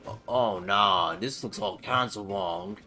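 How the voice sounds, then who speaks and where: A man's voice: on the low side, takes it slowly, pushed and bright, soft background music. A man livestreaming a game, giving casual live reactions in brief interjections.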